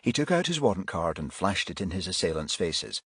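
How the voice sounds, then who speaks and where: A man's voice: sits low, big pitch swings, brisk, fairly bright tone, husky, dry recording, studio quality. A man narrating a crime thriller audiobook in a scripted storytelling style.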